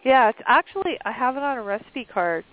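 A woman's voice: fairly high pitch, expressive, brisk, a little hiss or hum. Sniffly woman chatting over a phone line. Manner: chatty, rambling, lots of fillers. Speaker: cooking show co-host. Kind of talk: casual chat. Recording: dry tinny phone line.

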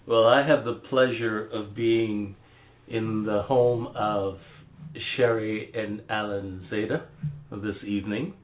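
A man's voice: on the low side, steady tone, slow pace. A man hosting a casual interview in a couple's home, chatty and laughing along with his guests. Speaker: podcast host. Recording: tinny phone-like lo-fi sound.

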